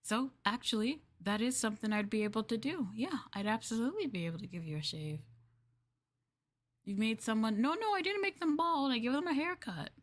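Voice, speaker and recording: A woman livestreamer in casual roleplay chat, playing a polite, chatty maid and often dropping into soft, breathy near-whispers. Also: fairly high pitch, lively intonation, fairly quick. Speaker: VTuber livestream host.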